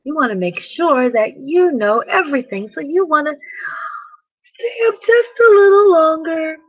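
A woman hosting a children's story time, addressing young listeners in a deliberate, sing-song, questioning way with a husky voice. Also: sits high, lively intonation. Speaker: children's bedtime story host. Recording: dull, lo-fi recording.

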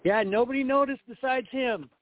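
Very high-voiced man, tinny line. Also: normal speed, no room sound. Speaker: co-host. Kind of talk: casual chat. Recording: dry tinny phone line.

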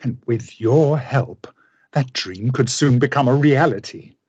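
A man acts out a driven scientist in a radio drama. His mid-range voice is rough and husky, with intense, grandiose, formal delivery. It is recorded very dry. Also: medium pitch, unhurried, a little mellow, no echo, muffled recording. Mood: fervent, persuasive. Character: obsessive, idealistic male scientist with a vengeful streak. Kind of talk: radio play acting.

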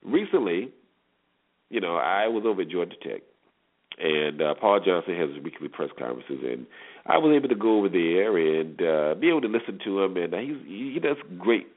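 Phone-line male sports host, lowish voice. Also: very animated, a little fast. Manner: emphatic repetition of phrases. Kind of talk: informal solo sports commentary. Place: solo internet radio show. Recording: muffled phone-like internet radio audio.

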